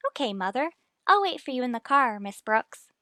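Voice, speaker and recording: A woman's voice: sits high, big pitch swings, moderate pace, sharp tone, full character voice. An actress playing a perky, polite teenage schoolgirl in a 1950s radio sitcom.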